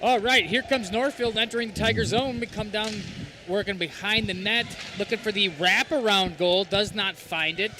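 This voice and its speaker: A man's voice: high-pitched, normal speed, noisy background. A man doing color commentary on a hockey game, chatty and joking in his asides.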